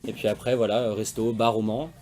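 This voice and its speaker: A man's voice: lowish voice, little pitch movement, quick pace, a little mellow. A young man from France, a magician guest, chatting casually in slangy, rambling talk. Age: young adult. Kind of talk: casual interview chat.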